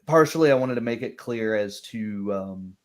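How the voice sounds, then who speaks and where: A man's voice: lowish voice, animated, normal speed. A man talking casually as a game master after a session, thinking aloud in a rambling way. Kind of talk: casual post-game discussion.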